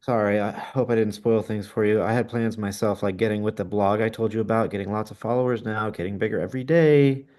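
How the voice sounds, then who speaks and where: A man's voice: fairly low pitch, fairly even intonation, a little fast, hardly any pauses, a little mellow, natural voice. A man reading the part of a chatty, self-promoting fashion colleague in a screenplay table read.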